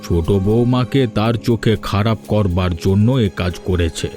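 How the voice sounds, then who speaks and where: A man's voice: fairly low pitch, normal speed, mellow, soft background music, lightly acted. A man voicing a stern, fair-minded older father in a cartoon drama, speaking in firm reproach. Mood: insistent. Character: stern, fair-minded elderly father-in-law defending his daughter-in-law. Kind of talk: dubbed cartoon dialogue.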